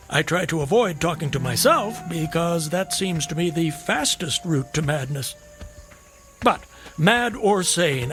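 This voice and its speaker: An eccentric, solitary old-fashioned wanderer with a high, very animated voice. He is genteel and wheedling, always courteous and slyly probing. It sounds like an audio drama, with music around him. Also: exaggerated voice. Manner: genteel, quaint, wheedling politeness.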